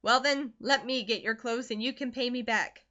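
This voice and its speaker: A woman reads aloud and puts on a harsh middle-aged farm mother who scolds her daughter. She is loud, sharp and unbending, with a high, bright tone. The recording is dull and lo-fi. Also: normal speed. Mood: scolding.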